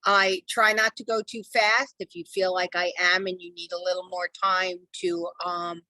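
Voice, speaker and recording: A middle-aged woman with a lowish, slightly hoarse voice leads a live online class in a chatty, reassuring way, filling pauses with ums. Her video-call audio is dry and close. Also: little pitch movement, sharp tone, no echo, low-quality recording. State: losing her voice. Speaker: real estate software trainer. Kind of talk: live online training session.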